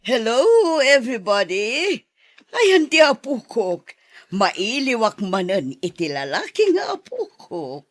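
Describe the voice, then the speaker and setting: A woman plays an older mother and grandmother in a radio drama. She speaks with an Ilocano accent and swooping, animated pitch in a dry recording. She is doting yet sceptical. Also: takes it slowly, no room sound, exaggerated voice. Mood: delighted.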